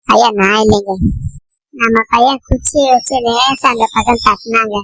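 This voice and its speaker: A woman's voice: a little high, steady tone, measured pace, fairly bright tone, dry recording, poor audio. A woman voicing a cheeky, whiny, scheming little boy in a comedy cartoon, dramatic and pleading. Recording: music behind the voice.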